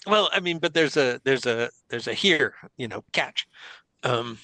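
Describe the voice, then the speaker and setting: A man's voice: medium pitch, animated, measured pace, pushed and bright, no room sound. A man, a game designer, chatting in an interview, hunting for the right words and repeating phrases as he builds a point. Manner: searching for words, repeats himself. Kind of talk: casual interview chat.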